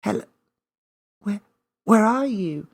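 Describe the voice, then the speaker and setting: A man voicing an excitable, curious young girl full of hesitant wonder in a children's story. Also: high voice, takes it slowly, gravelly, pitched above the performer's own voice, heavily acted. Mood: puzzled.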